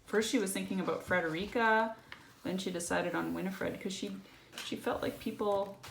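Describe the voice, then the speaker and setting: Woman reading aloud, creaky voice, roomy echo. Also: medium pitch, moderate pace. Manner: explanatory, checks in with tag questions. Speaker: storyteller reading a picture book to a child. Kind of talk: read-aloud storytelling with casual asides to a child. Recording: roomy echo, faint background noise.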